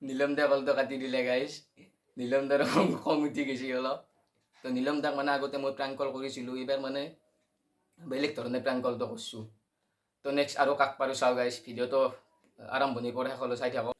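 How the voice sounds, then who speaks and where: A man's voice: mid-range voice, level tone, fast. A man with an Assamese accent chatting casually and directly to his viewers as host of a prank call video. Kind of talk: casual vlog commentary to viewers.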